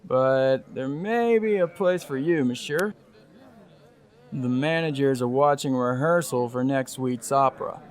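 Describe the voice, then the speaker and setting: A man's voice: mid-range voice, unhurried, close to an everyday voice. A man playing a dismissive, brusque pony who works at an opera house, in an audio drama.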